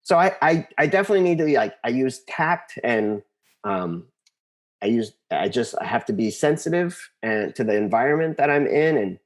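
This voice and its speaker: American male, mid-pitched. Casual interview guest telling personal stories, earnest, halting delivery. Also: very animated. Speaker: interview guest, composer and conductor.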